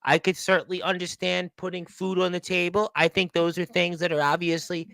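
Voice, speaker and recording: A man's voice: high voice, little pitch movement, fairly quick, vocal fry, a bit bright, clean tone, no room sound. A man hosting a radio interview, asking long, rambling questions that circle back on themselves. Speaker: radio show host.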